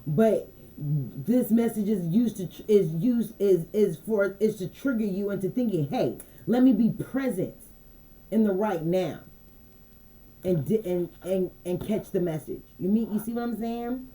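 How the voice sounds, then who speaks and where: A woman's voice: mid-range voice, a little slow, slight background noise, rough recording. A woman who does intuitive card readings, talking casually to her viewers and often checking in with them. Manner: relaxed, conversational, repeats phrases and asks "you dig me?". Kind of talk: casual channeled message livestream.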